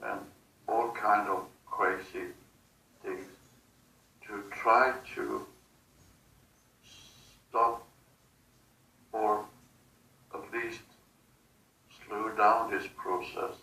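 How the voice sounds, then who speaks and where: Male, trance-channelling subject: halting, very slow, bright gravelly edge, distant roomy recording with faint noise. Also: lowish voice, fairly even intonation. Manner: halting, broken phrases with long gaps. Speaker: hypnosis subject channelling otherworldly beings. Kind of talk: slow, halting channelled monologue in a guided session.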